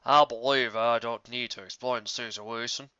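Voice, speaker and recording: Guy playing folksy worried big brother pony, bright clear voice, polite, kinda muffled dry recording. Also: medium pitch, steady tone, normal speed, no echo, lightly acted. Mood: worried, respectful. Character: earnest, worried country stallion, protective older brother.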